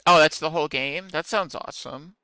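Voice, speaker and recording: A man's voice: fairly high pitch, a little fast, bright, forceful tone, smooth, dry recording, soft background music. A man streaming a game, chatting casually with viewers in a musing, self-deprecating way.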